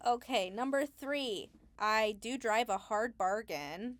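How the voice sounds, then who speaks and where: A woman being interviewed as a Foley artist, talking casually and haltingly as she thinks aloud. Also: a little high.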